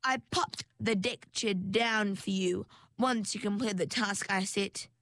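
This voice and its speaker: A woman's voice: medium pitch, takes it slowly, a bit bright, dry recording, studio quality. A woman voicing a scheming, elegant female mermaid villain who speaks in a pleasant, condescending way. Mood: smug.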